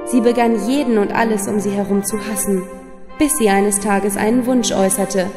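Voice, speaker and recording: A woman's voice: mid-range voice, steady tone, normal speed, big-room sound. A woman narrating a scripted fairy tale for children as a storyteller. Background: music.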